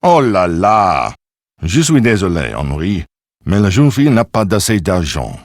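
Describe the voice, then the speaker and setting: A man's voice: low-pitched, very animated, moderate pace, no echo, studio quality, full character voice. A man acting as a suave, self-important Frenchman in a video game, with a French accent and pompous, precise delivery. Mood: courteous, theatrical.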